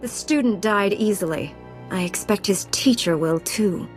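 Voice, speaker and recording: A woman's voice: medium pitch, unhurried, faint music behind, natural voice. Woman acting a cold, sardonic Jedi knight. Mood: cold, confident. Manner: curt, dry, sardonic. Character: cold, defiant, merciless female Jedi knight. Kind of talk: video game cutscene acting.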